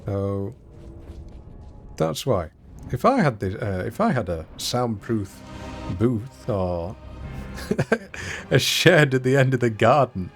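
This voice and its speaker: Male streamer, gravelly and animated, chatty and self-mocking, home setup with clear game music underneath. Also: medium pitch. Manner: chatty and self-deprecating, answers viewers' chat aloud. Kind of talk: casual live-stream chat while gaming. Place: home, not soundproofed.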